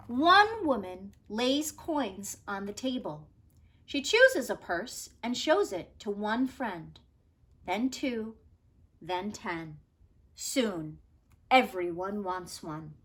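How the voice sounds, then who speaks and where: A woman's voice: on the high side, a bit bright. A woman teacher reading a children's story aloud expressively, with careful, dramatic phrasing and emphasis.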